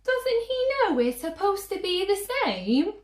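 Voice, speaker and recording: A woman acts out a scandalized storybook bunny, crying out in high-pitched indignation. The delivery swings widely and rings in a roomy space. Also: big pitch swings, a little slow, heavily acted. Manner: exclaiming, indignant. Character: crowd of scandalized bunnies, loudly disapproving.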